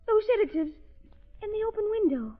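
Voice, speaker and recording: A woman's voice: very high-pitched, sing-song, fairly quick. A woman playing a frightened, frail young heiress in a 1930s radio drama, breathless and melodramatic. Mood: horrified. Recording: muffled vintage 1930s radio recording.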